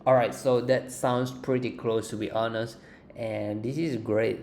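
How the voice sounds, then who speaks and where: A man presenting an informal software walkthrough as a tutorial host. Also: medium pitch, steady tone, measured pace. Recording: faint background noise.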